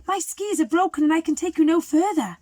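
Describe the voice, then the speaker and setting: A woman voicing a helpful young girl in a children's storybook, bright and apologetic. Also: extremely high pitch, brisk, pitched above the performer's own voice.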